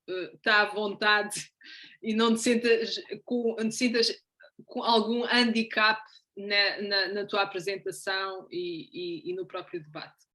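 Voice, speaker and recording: Slow, bright-voiced woman moderator. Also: mid-range voice. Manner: halting, repeated words. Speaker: debate moderator. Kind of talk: introducing a guest.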